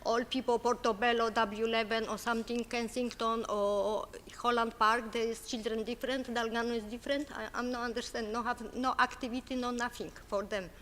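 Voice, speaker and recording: A woman who is not a native English speaker asks a council a question at a public meeting, halting and searching for words. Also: a little high, flat delivery, fairly bright tone. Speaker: resident asking a question. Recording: long hall reverb with faint noise.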